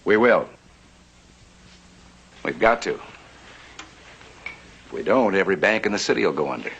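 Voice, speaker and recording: A man's voice: deep voice, unhurried, gravelly, lo-fi sound, slight background noise, heavily acted. An American man playing a determined, scheming banker in a vintage television western, earnest and persuasive. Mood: grave, resolute. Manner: earnest, persuasive, slyly flattering. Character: determined, scheming male banker in the Old West.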